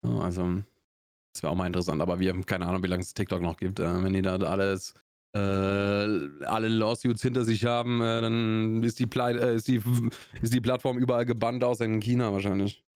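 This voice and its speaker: A man's voice: fairly low pitch, level tone, fairly quick, a little mellow, no echo, clean studio sound. A young man from Augsburg with a Swabian accent, co-hosting a podcast in a loose, rambling chat full of fillers and restarts. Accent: Swabian German. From Augsburg, Swabia, Germany. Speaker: podcast co-host. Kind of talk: casual chat.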